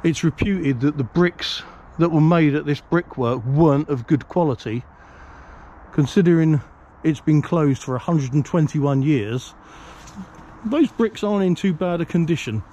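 A man presents a casual exploring vlog in a soft, mellow voice that sits a little high. He muses aloud and often asks himself questions. He is recorded outdoors, with some vehicle and ambient noise around him. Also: measured pace. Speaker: presenter of a canal exploring series. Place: outdoors by a canal bridge and road.

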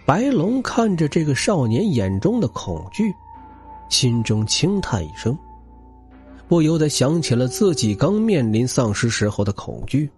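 A man's voice: on the high side, very animated, a little slow, darkish tone, soft background music. A man narrating an audiobook in a dramatic, scripted style.

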